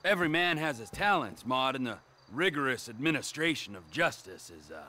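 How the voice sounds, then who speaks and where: A man's voice: medium pitch, very animated, brisk, bright, forceful tone, slight background noise. An actor playing a volatile, sardonic middle-aged male bounty hunter in a video game, with florid mock courtesy. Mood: mock-courteous. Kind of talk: video game cutscene acting.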